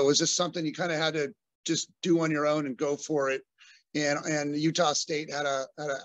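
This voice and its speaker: A man's voice: fairly high pitch, fairly bright tone, no room sound. A man in his forties from Southern California, a business owner talking through his background in a friendly, rambling way with many fillers. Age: 40s. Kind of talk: casual interview conversation.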